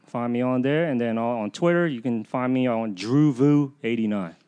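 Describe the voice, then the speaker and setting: Male podcast guest, rambling, halting delivery. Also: medium pitch, warm tone, clear, resonant tone. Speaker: comedy producer, podcast guest. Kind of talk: casual podcast chat.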